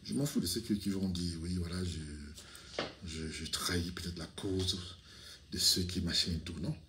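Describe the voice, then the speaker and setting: Outspoken low-voiced man talking to camera. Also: moderate pace, noisy background. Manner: outspoken, rising to animated outbursts, many restarts and fillers. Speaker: video commentator. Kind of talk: casual political commentary to camera. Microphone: camera, speaking to it directly.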